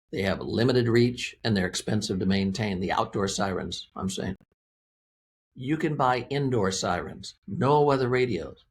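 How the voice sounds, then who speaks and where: A man's voice: on the low side, a little hiss or hum. An American man explaining things as an interview guest, in an emphatic, folksy way with plain wording and repeated key phrases. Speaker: interview guest, weather radio company representative.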